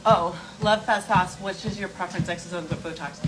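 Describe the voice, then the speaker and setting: A woman's voice: lowish voice, steady tone, a little fast, over loud music, rough recording. A woman hosting a casual skincare livestream, answering viewer questions and explaining emphatically, repeating key points with rhetorical questions. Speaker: skincare and wellness livestream host.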